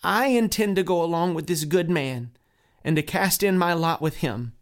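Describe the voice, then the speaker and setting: A man voicing a gentle, easily swayed male neighbor who asks eager questions. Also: fairly high pitch, moderate pace, voice pushed up, natural voice. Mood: mild.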